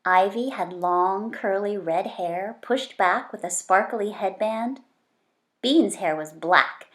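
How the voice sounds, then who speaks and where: A woman reads a children's story aloud at an unhurried pace. Her pitch is lively and varied, and she speaks straight to her young listeners as a read-aloud host. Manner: addresses young children directly, animated. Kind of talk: expressive storybook narration for children.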